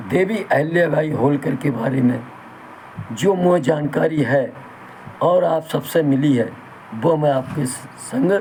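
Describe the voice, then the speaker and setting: Slow hoarse man. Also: on the high side, darkish tone, slight background noise, poor audio. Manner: slow, halting, folksy and reverent, addresses listeners as brother. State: sore throat. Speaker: channel host. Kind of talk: talk in Hindi with Bundeli dialect.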